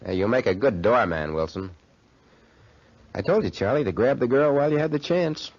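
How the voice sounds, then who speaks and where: A man's voice: mid-range voice, animated, normal speed, darkish tone, dry recording, close to an everyday voice. An actor playing a cynical, callous young schemer in a 1940s radio drama, with slangy American tough-guy talk. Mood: smug, mocking. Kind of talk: 1940s radio drama acting with first-person narration. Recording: muffled, band-limited 1940s radio recording.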